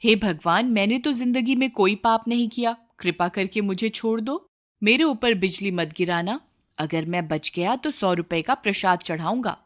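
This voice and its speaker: A woman's voice: medium pitch, normal speed, lightly acted. Woman playing a frightened, pleading village man. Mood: fearful pleading. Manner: pleading, exclaiming. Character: frightened village man bargaining with God for his life. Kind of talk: moral storybook character voice.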